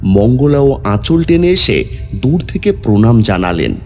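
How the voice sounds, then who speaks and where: A man's voice: mid-range voice, big pitch swings, a little slow, a little mellow, soft background music. A man narrating a scripted horror story in a dramatic storytelling style.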